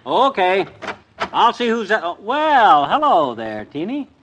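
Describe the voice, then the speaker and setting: An actor playing a blustery, boyish, folksy husband in an old-time radio comedy. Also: male voice, high-pitched, huge pitch swings, measured pace, fairly bright tone, muffled recording, a little hiss or hum, heavily acted. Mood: folksy, curious. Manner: folksy, slangy. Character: blustery, boyish, quarrelsome small-town husband.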